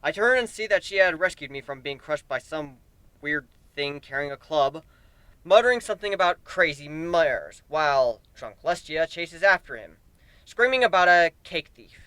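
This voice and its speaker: A man's voice: on the high side, lively intonation, normal speed, sharp tone, clear, resonant tone, no echo. A man giving a theatrical, emphatic dramatic reading of a first-person fantasy story. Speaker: narrator of fan fiction.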